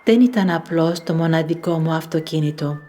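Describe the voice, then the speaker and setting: A woman's voice: low voice, fast, mellow, close to an everyday voice. A woman playing a bodiless, charming voice in a radio play, reflective and reminiscing.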